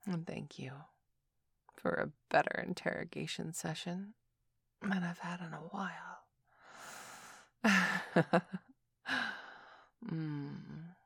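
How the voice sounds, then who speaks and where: Female performer as a commanding, teasing adult heroine interrogator; breathy, unhurried and husky-low. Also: no echo, clean studio sound, full character voice. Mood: teasing, smug. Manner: breathy whispering, moaning, slow and sultry. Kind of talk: erotic audio roleplay.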